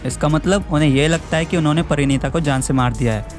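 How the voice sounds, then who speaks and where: A man playing a stern, authoritative police inspector in an animated story. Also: on the high side, quick pace, close to an everyday voice. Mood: dutiful, firm. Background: background music.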